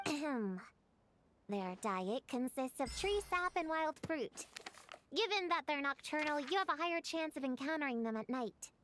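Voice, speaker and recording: A woman's voice: high voice, animated, moderate pace, a bit bright, slight background noise. A woman voicing an earnest, bossy little witch girl who lectures about creatures in a game. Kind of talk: game voice acting.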